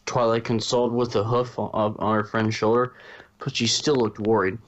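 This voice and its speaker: A man with a fairly low voice reads narration for a fan fiction audio story. His delivery is that of a storyteller, and the home recording is a little dull and muffled. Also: flat delivery, normal speed.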